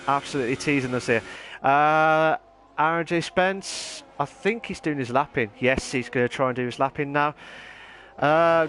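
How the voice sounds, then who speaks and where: A man commentating a live sim racing league, rambling and excitable, voice wearing hoarse from shouting. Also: mid-range voice, slight background noise. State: voice going hoarse. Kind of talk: live esports race commentary.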